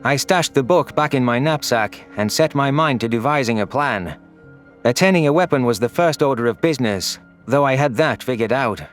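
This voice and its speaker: A man's voice: medium pitch, expressive, moderate pace, soft background music, close to an everyday voice. A man voicing an anguished, vengeful young furry alien who narrates his own audio story in an earnest, solemn, dramatic way.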